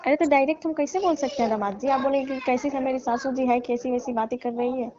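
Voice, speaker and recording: A woman plays a flirtatious middle-aged mother-in-law, coaxing and sly, her voice sitting fairly high. She keeps sinking toward hushed, whispery tones. It comes through a dull, lo-fi phone call recording. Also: steady tone, quick pace, background noise, natural voice. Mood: coy, hushed. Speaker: comedy call actor. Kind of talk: staged comic phone call.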